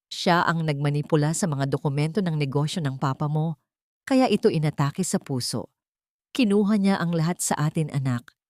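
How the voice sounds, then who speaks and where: Audio drama stepmother played by a female narrator: guilt-stricken, broken, pleading, lowish voice. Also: moderate pace, a little mellow, dry recording, studio quality, close to an everyday voice. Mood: bitter, anguished. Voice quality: broken, sobbing voice. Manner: pleading, sorrowful.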